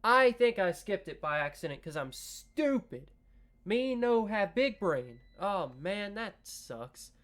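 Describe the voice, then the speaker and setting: A man reading a visual novel aloud in a slow, measured way, breaking off into joking asides about his choices. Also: high-pitched, sing-song, fairly bright tone. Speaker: gaming video creator.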